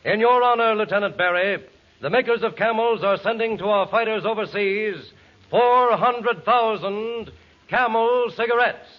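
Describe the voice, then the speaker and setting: A man's voice: sits high, moderate pace. A man reading a formal scripted tribute as a 1940s radio announcer. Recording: dull 1940s radio recording.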